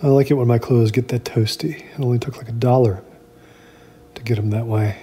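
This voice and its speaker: A man performing a first-person horror story like a spoken diary, in a halting, uneasy, confiding way. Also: mid-range voice, steady tone, dark tone. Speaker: narrator of a horror audio drama. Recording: clear background noise.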